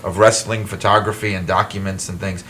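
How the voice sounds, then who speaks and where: Bright-voiced man telling book stories. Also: deep voice, a little fast, a little hiss or hum. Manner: animated, self-deprecating storyteller with frequent restarts. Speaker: author and wrestling historian guest. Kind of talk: casual talk-show interview.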